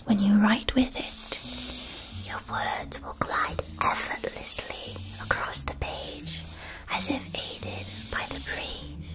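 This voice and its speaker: A woman's voice: high-pitched, heavily acted. A woman playing a genteel, doting stationery shopkeeper at a witch school in an ASMR roleplay, with a dainty, fussy manner. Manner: slow, dainty, fussy, repeats words softly. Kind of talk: whispered ASMR shop roleplay.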